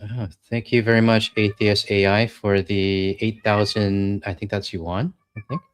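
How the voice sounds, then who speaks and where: Lower-voiced man thanking donors. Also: level tone, a little slow, clean tone, no echo. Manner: friendly, hesitant, corrects himself, many uhs. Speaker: livestream host reading viewer donations. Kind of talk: casual chat, thanking donors.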